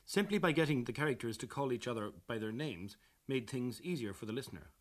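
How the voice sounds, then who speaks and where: A man presenting a scripted radio documentary in a measured, explanatory way. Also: medium pitch, expressive.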